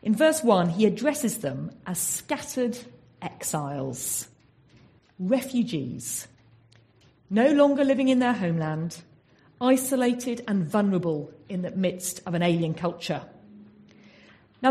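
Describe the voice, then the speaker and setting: A woman's voice: medium pitch, big pitch swings, measured pace, mellow. An English woman giving a Bible talk in an earnest teaching manner, often asking her listeners rhetorical questions. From England. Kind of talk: sermon.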